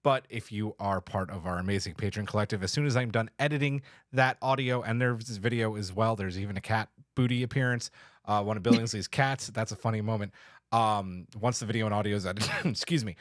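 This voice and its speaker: A man's voice: lowish voice, a little fast, no room sound, studio quality. A man hosting a podcast in casual chat, with an informal, slangy, mildly profane way of talking. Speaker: podcast host.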